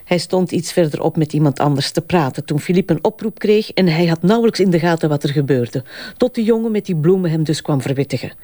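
A firm female deputy prosecutor with a Flemish accent in a radio drama. She is measured, formal and cool, in a dry, close acoustic. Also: deep voice, animated, mellow, dry recording. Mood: businesslike.

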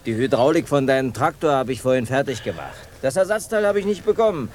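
An actor playing a jovial, crude farm mechanic in a German radio drama, talking in a hearty, earthy way. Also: male voice, mid-range voice, expressive, fast, a little hiss or hum, lightly acted.

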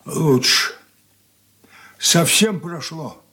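An older actor playing an ailing, confused old merchant father in a Soviet radio play, speaking in halting, broken phrases. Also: on the high side, animated, bright, forceful tone, full character voice. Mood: weary, brooding.

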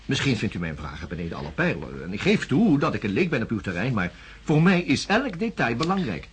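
An actor playing a courteous but persistent, shrewd psychiatrist in a radio drama, questioning politely. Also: male voice, medium pitch, wildly swooping pitch, slight background noise. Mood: deferential. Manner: polite, measured, insistent questioning. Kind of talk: science fiction radio drama acting.

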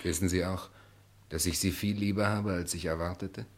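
A reserved gentleman in a radio play. His voice is deep, his delivery hesitant and polite, with an awkward earnestness. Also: fairly even intonation, moderate pace. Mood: tender, hurt. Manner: hesitant, subdued, stiffly polite. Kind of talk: German radio play acting.

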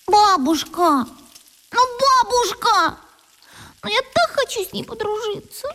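A woman's voice: very high voice, expressive, unhurried, bright, forceful tone, exaggerated voice. An actress voicing an eager, adoring eleven-year-old schoolgirl in a radio play, talking in a pleading, gushing way.

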